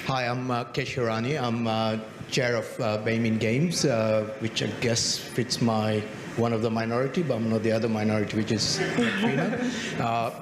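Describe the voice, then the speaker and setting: A man's voice: medium pitch, normal speed, hardly any pauses, crowd noise. A man from Kenya speaking on a games conference panel, hesitant and full of "uh" fillers. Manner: hesitant, many "uh" fillers, laughs easily. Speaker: panelist, games company chair. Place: conference hall. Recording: roomy hall with long reverb and background noise.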